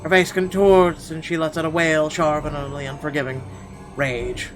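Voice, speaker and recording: A man's voice: fairly high pitch, little pitch movement, moderate pace. Theatrical man narrating over music. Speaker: gaming video host. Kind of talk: dramatic read-aloud of visual novel narration. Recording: music behind the voice. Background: game music.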